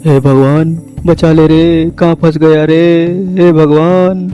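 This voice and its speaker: A cowardly young man in a horror story, acted by a man, with a high, soft-toned voice. He whines fearfully and stretches his words slowly. Faint music runs behind him. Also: little pitch movement, rough recording. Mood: panicked wailing. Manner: fearful, whining. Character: cowardly, whining young man lost in haunted forest.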